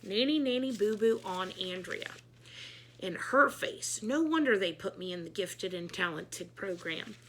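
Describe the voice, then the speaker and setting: A woman's voice: mid-range voice, lively intonation, measured pace, a bit bright, a little hiss or hum. A woman voicing a cheeky, blunt young schoolboy in a children's storybook, with a sassy, insistent manner. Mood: smug, mocking.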